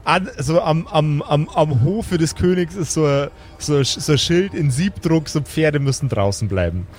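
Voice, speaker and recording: A man's voice: fairly high pitch, unhurried, a little mellow. A man narrating an improvised tabletop fantasy game as game master, often repeating words as he searches for the next phrase.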